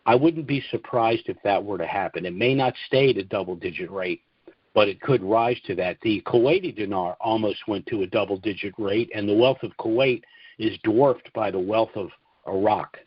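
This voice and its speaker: Conference call host: American man, lower register, measured informal monologue with halting pauses, dry lo-fi audio. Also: husky, no echo. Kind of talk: informal financial monologue to clients. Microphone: conference call line.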